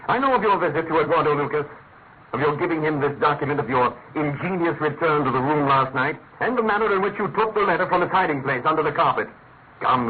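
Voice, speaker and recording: An actor playing a shrewd, imperious master detective in a classic radio drama, incisive and urgent. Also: male voice, fairly high pitch, close to an everyday voice. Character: brisk, commanding, shrewd male master detective. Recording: tinny, narrow-band old radio, faint noise.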